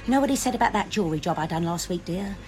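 A woman's voice: fairly low pitch, lively intonation, racing, darkish tone, soft background music, natural voice. A woman playing a scheming criminal mastermind posing as a charlady, confiding and long-suffering, with a working-class English accent. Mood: exasperated, conspiratorial. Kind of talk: comic radio drama acting.

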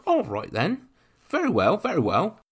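A man's voice: sits high, huge pitch swings, moderate pace, slightly rough, voice pushed up, exaggerated voice. A man voicing a polite, flustered coach officer in a storybook reading.

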